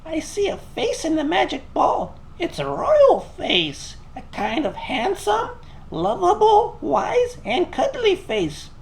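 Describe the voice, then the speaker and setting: Man putting on a shrill falsetto old lady fortune teller. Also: huge pitch swings, gravelly, heavily acted. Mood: flattering, mystical. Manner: cooing, theatrical, drawn-out. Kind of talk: storybook reading for children.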